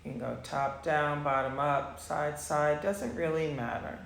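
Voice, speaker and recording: Slow, creaky-voiced woman guiding meditation. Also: sits low, fairly even intonation, reverberant, a little hiss or hum. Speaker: meditation teacher. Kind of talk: guided meditation instruction.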